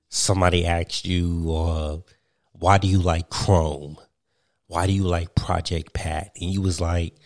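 A young man hosts a loose podcast conversation, rambling through his points with plenty of "um"s and frequent laughs. His voice sits very low, and the room sounds dry and close. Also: a little mellow, no echo. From Virginia, US. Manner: rambling, filler-heavy, laughs often. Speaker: podcast host. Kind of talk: casual chat.

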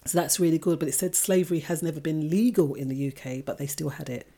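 A woman's voice: low voice, fast talker, mellow. A woman from England, co-hosting a casual discussion and speaking reflectively, addressing her listeners directly. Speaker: podcast co-host.

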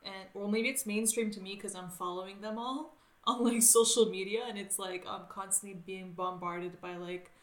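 A woman's voice: medium pitch, steady tone, moderate pace. A woman hosting a casual chat, enthusiastic and upbeat, using lots of "like". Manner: enthusiastic, filler-heavy, upbeat. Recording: roomy echo.

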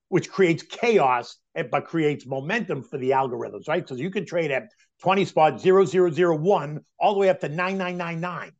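A man in his sixties talks fast and emphatically, his voice sitting high and climbing further when he gets worked up. The sound is a little dull and lo-fi. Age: 60s. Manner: emphatic, excitable market talk. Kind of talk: casual chat.

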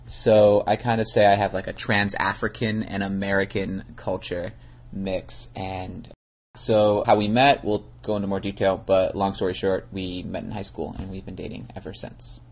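A young man in his twenties, a guest on a podcast, telling his background in a relaxed, rambling way. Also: low-pitched, moderate pace. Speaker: guest and co-interviewer. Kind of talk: casual conversation.

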